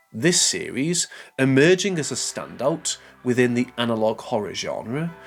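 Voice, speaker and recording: A man narrates a scripted video essay in a measured, formal manner. His mid-pitched voice moves up and down in a lively way, over faint music.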